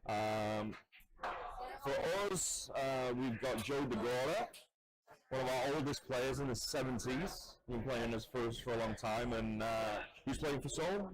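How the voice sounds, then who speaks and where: A man commentating live on a pool match, talking loudly and in a chatty way. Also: mid-range voice, measured pace, a crowd in the background, low-quality recording. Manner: loud, chatty, laughs easily. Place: commentary spot at a pool venue.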